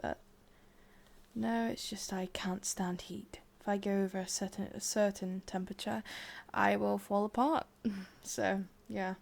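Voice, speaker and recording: A woman with an English accent voicing a sadistic, lovesick witch in a whispered, intimate ASMR roleplay, murmuring slowly and haltingly. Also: mid-range voice, steady tone. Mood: casual, musing. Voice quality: breathy, whispery. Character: sadistic, lovesick young witch, wistful and possessive.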